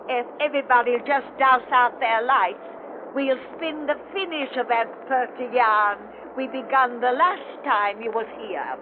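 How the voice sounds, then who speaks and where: On a muffled, noisy old radio recording, an ancient witch tells a spooky fireside yarn. She speaks very slowly in a folksy way, savoring every word with eerie relish. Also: high-pitched. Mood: eerie welcome. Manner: folksy, slow, cackling storyteller. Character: ancient cackling witch spinning spooky yarns by the fire. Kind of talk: 1930s radio horror hosting.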